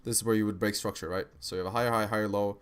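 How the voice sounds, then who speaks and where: A young man streams live, his speech carrying an American-sounding accent and a slightly low voice. He talks casually and slangily through trading setups, tossing in "bro" and "right?" as he goes. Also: fairly even intonation, rapid-fire, clear, resonant tone. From Kosovo.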